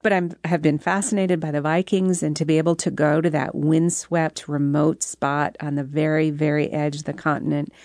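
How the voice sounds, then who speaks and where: A woman's voice: low voice, level tone, moderate pace, hardly any pauses, darkish tone, no echo, studio quality. A woman speaking as an author being interviewed, explaining things in a thoughtful, articulate, conversational way.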